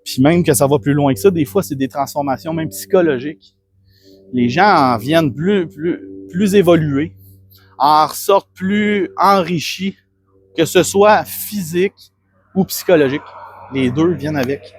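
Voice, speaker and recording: A man's voice: a little high, a little slow, a little hiss or hum. A man with a Québécois French accent, a fitness coach talking earnestly and rambling in a casual self-promotional monologue. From Quebec. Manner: rambling, earnest, many restarts and fillers.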